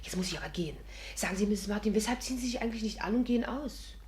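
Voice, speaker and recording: A plucky young woman detective acted by a woman in a vintage radio play. She speaks in a middle register and is quick to protest, with a theatrical fifties delivery. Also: medium pitch, animated, a little mellow, slight background noise, close to an everyday voice. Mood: conspiratorial. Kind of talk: 1950s German radio drama acting.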